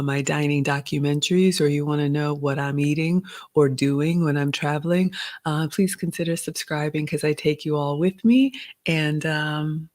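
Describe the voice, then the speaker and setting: A woman's voice: sits low, darkish tone. A woman in her forties, originally from Ghana, chatting casually as host of a live Q&A and reading out viewer comments. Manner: chatty, reads viewer comments aloud, uses "y'all" and "you all".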